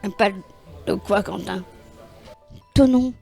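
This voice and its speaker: A woman's voice: mid-range voice, slow, gravelly, warm tone, soft background music. A woman interviewer asking short, simple questions in an informal interview.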